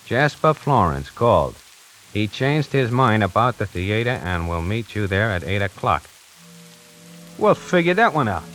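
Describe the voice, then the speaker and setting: A man's voice: mid-range voice, fairly bright tone, slight background noise. A wisecracking salesman narrator. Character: brash fast-talking male salesman. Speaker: lead and narrator. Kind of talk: 1940s radio drama narration. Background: music score.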